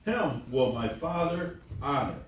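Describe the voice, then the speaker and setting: A man's voice: mid-range voice, lively intonation, measured pace. A man taking part in a religious class, reading and responding from the side. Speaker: class participant giving responses. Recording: very echoey, distant, noisy room.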